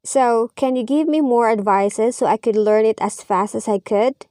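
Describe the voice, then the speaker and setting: A woman reads a practice dialogue line by line in a measured, even way, playing both speakers and following each line with its translation. Her voice sits a little high. It is recorded close up in a dry space with no room sound. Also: no room sound. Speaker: language teacher. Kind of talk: slow scripted reading of a dialogue with translations.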